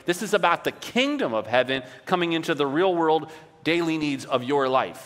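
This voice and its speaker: A man's voice: on the high side, fairly bright tone. A man preaching as a pastor in a conversational, explanatory way, often checking in with rhetorical questions. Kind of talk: sermon, teaching from scripture in a conversational way. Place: large indoor hall, speaking to a congregation. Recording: long reverb of a large hall.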